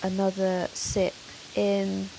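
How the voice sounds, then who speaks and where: A woman yoga teacher leading a guided meditation in a soothing, measured, gentle manner with long pauses. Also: medium pitch, monotone, vocal fry, rough recording. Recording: soft hiss of rain noise. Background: soft rain sound.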